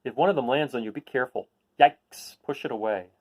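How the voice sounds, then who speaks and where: A man's voice: medium pitch, a little fast, a little mellow. A man hosting a read-aloud, reading a children's picture book and adding casual, explanatory asides for young listeners.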